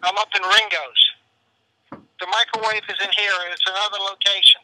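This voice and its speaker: A man's voice: high voice, level tone, normal speed, pushed and bright, a little hiss or hum, low-quality recording. A man talking casually on a telephone as a private seller, matter-of-fact and businesslike. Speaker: private seller taking a phone call. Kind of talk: casual phone conversation.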